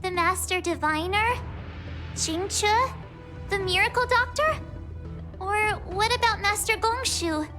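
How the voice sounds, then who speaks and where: A woman's voice: very high-pitched, measured pace, pauses often, over music. A woman voicing a cheerful, chatty teenage girl traveler in a video game. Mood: wistful, chatty.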